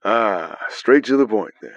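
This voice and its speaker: A man playing a smug, scheming manipulator in an audio drama, with a theatrical, unhurried delivery. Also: lowish voice, expressive. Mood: smug, teasing. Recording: dull lo-fi, roomy echo.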